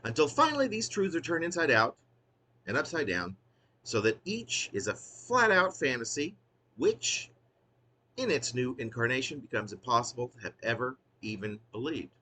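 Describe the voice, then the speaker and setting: A man's voice: medium pitch, big pitch swings, normal speed, bright, forceful tone. Guy reading his own wry poem, hammy and emphatic, swoops up and down a lot, bit of background noise. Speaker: poet reading own work.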